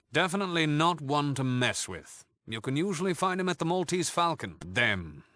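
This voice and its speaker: An actor playing a suave, sardonic British leader of a thieves' guild in a video game, with a flippant, haughty and theatrical way of talking. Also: male voice, medium pitch, bright, forceful tone, no echo. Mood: gossipy, disdainful. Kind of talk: video game character dialogue.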